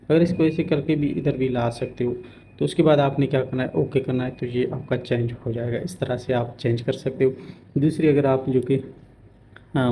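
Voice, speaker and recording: A man hosting a gaming tutorial, casually explaining each step in a halting, repetitive way. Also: mid-range voice, mellow, low-quality recording. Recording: noticeable background noise.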